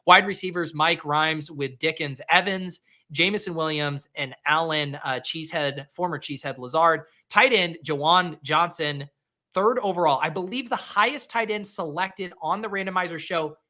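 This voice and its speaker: A nasal, fairly high-voiced man in his thirties hosts a loose, jokey chat. He slides from fast rambles into dry deadpan bits. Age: 30s. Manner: goofy, quick deadpan jokes. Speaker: host. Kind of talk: casual chat with a guest.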